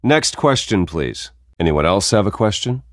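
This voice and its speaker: An actor playing a composed, earnest billionaire heir who speaks in measured oratory and can turn defiant, in a video game. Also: male voice, on the low side, big pitch swings, no echo, clean studio sound. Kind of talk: video game voice acting, public speeches.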